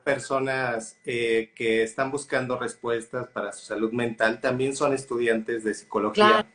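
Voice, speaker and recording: A man's voice: mid-range voice, moderate pace, a bit bright. A man hosting a live-stream interview, friendly and appreciative, using casual fillers. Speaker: host.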